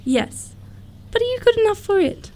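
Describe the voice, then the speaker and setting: A woman's voice: very high voice, big pitch swings, moderate pace, warm tone. A woman playing a prim, candid young girl of good family, earnest and politely teasing, in a theatrical radio comedy. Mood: demure teasing.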